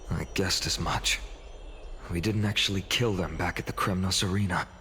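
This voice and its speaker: An actor playing a mild-tempered, earnest young hero in a video game, polite, sincere and formal. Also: male voice, low voice, steady tone, moderate pace, pushed and bright, slight background noise.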